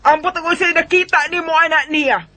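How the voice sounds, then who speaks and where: High-voiced, nagging, indignant mother. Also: steady tone, a little fast. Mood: indignant, scolding. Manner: nagging, indignant, sharp-tongued. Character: scolding, disapproving mother of the bride. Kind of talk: Filipino radio drama acting.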